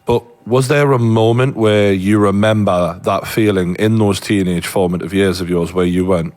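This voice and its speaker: Brief: deep-voiced male interviewer, casual and probing, swears in passing. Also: steady tone, normal speed, darkish tone.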